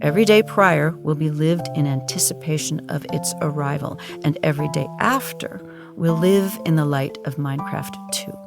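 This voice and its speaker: A woman giving solemn, measured, deadpan narration for an audio drama in a documentary style. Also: deep voice, gravelly, music in the background.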